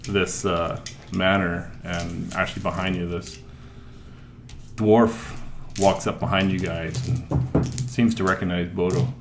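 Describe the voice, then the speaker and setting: A man narrating as dungeon master, describing scenes in a relaxed way with many fillers. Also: deep voice, takes it slowly, pauses often, echoey room, slight background noise. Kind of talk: narrating a tabletop game.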